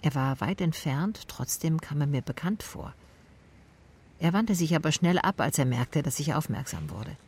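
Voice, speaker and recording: A woman's voice: low-pitched, lively intonation, normal speed, a little mellow, exaggerated voice. An actress playing an observant female private detective, narrating her case in the first person for a radio drama. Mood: observant, measured. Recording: clearly noisy ambience behind voice.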